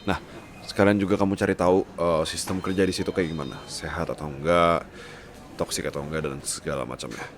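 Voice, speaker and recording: A man playing a bossy, rich young boyfriend who is gruff yet doting and protective, in an ASMR roleplay. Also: sits low, steady tone, brisk, slight background noise, natural voice. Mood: firm, businesslike. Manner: scolding, insistent, code-switching with English words.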